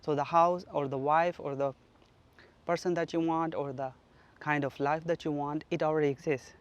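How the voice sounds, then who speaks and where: A man from Pakistan giving an earnest, reflective spoken teaching at home, his sentences often trailing off softly. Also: fairly high pitch, fairly even intonation, normal speed, clear, resonant tone, highs cut off. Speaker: spiritual teacher. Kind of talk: solo spoken teaching on manifestation. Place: flat.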